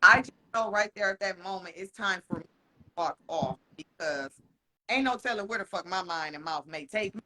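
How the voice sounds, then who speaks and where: A man co-hosting a podcast in casual chat. He talks frankly and swears a lot, laughs often, and slows down to stress his points. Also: high-pitched, sharp tone. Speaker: podcast co-host.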